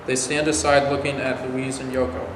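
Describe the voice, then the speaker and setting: A man's voice: mid-range voice, flat delivery, moderate pace, close to an everyday voice. A man in a staged reading with a formal, deferential delivery, as a presidential aide and narrator. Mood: formal narration. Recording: very echoey noisy hall recording.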